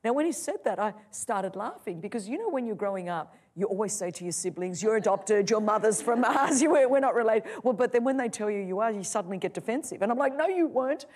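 A middle-aged woman preaches her testimony. She is passionate and builds from soft, low passages to loud, soaring ones. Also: animated, quick pace, few pauses. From Australia. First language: Greek. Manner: impassioned, swings from loud to soft. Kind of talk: sermon testimony.

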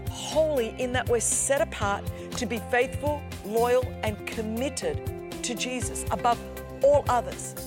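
A woman's voice: a little high, a little slow, over music. A woman, a native Greek speaker, preaching and telling a story with mounting passion and emphatic repetition. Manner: impassioned storytelling, builds to loud emphatic repetition. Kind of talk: sermon.

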